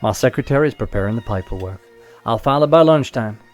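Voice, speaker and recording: A man's voice: mid-range voice, animated, quick pace, natural voice. Businesslike male attorney acted by a man, confident and shrewd, natural audio drama delivery over light music. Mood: confident, measured. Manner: assured, businesslike. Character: confident, shrewd male lawyer advising his client.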